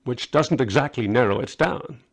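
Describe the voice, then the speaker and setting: A focused, practical scholar from a storybook, read by a man. He is dry and matter-of-fact, with a measured delivery at a medium pitch. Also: creaky.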